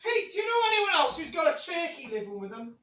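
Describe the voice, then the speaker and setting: A woman's voice: extremely high pitch, big pitch swings, fast, fairly bright tone, dull audio. A woman hosting a casual group chat. She teases the others and often restarts her sentences. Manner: stammering restarts, teasing, chatty.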